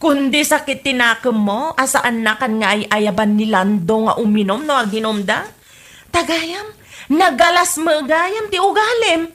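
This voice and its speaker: A woman's voice: high voice, unhurried, a bit bright. A woman playing an exasperated, scolding wife in a comedy audio drama, with an Ilocano Filipino accent. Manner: nagging, scolding.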